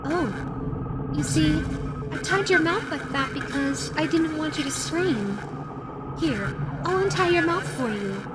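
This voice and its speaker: A woman's voice: sits high, expressive, slow pace. A woman voicing a flirty, menacing female animatronic fox in an audio roleplay, playful, coy and teasing. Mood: playful menace. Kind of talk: audio roleplay addressed to the listener. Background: music.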